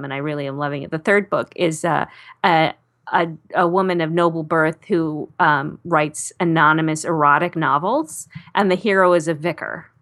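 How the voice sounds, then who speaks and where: A woman novelist chatting casually in an interview, talking in an animated, emphatic way. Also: lowish voice.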